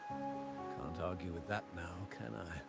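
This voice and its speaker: A man playing an elderly, courteous gentleman in a video game, musing in a formal, philosophical and gravelly voice. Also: sits low, takes it slowly, over music, heavily acted. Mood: polite, wry. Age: older. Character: elderly cultured gentleman, courteous, musing, cryptic art lover. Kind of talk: scripted video game acting.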